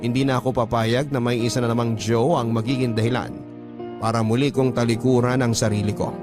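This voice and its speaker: A male radio drama host with a mid-range voice narrates a listener's letter. His delivery is scripted, dramatic storytelling, set over clearly audible music. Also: medium pitch, little pitch movement, brisk. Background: background music.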